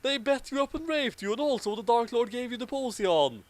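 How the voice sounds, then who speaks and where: A man's voice: very high voice, lively intonation, brisk, voice pushed up. A man voicing an earnest, urgent male elf hero in an amateur fantasy story reading.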